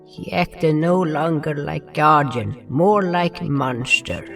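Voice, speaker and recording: A male narrator tells a scripted fantasy story in a solemn way. He speaks very slowly with a gravelly creak, a little distant from the microphone. Music runs clearly behind him. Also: fairly high pitch. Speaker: narrator and writer.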